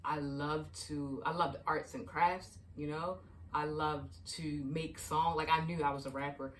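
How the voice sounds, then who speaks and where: A woman's voice: low voice, steady tone, unhurried, distant mic, a little hiss or hum. A young adult woman from Baltimore, a life coach chatting casually and telling stories about healing your inner child. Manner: chatty, storytelling, frequent "you know what I mean". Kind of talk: casual advice vlog.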